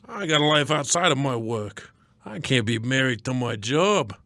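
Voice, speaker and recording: A man acts out a blustery radio producer in a comedy skit. He gripes and wisecracks in slangy, melodramatic bursts at a middling pitch, recorded close and dry. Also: expressive, moderate pace, pushed and bright, no room sound. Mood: gruff wisecracking. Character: blustery, griping radio producer, disillusioned sports superfan.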